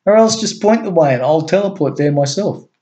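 A man's voice: on the high side, lively intonation, a little fast, voice pushed up, natural voice. Man doing a breezy, unflappable alien visitor. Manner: breezy and teasing, turns forceful at times.

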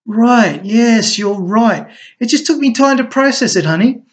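A man voicing an eager, charming husband who coaxes and flatters his partner. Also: very high-pitched, a little slow, voice pushed up. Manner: enthusiastic, coaxing. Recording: dull lo-fi recording.

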